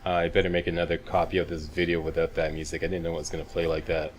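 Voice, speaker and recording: A man's voice: low-pitched, monotone, racing. A man giving casual video game walkthrough commentary, laid-back, in short step-by-step instructions. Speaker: gaming video host. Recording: clear background game noise. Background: video game ambience.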